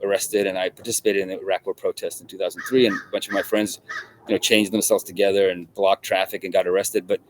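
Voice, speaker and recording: A middle-aged man from Russia, a guest in an interview, talking in a halting way with many restarts and repeated words. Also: low voice, level tone, a little hiss or hum, low-quality recording. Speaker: guest journalist. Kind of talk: conversational interview.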